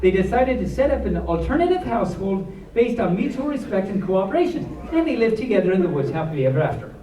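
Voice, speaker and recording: A storyteller reads a bedtime tale aloud to listeners. The delivery is animated, with pitch that moves around a lot. The voice sits slightly away from the mic in a reverberant room. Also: quick pace, slight background noise. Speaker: storyteller reading to an audience. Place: echoey room at a convention. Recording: echoey room, camera mic far off.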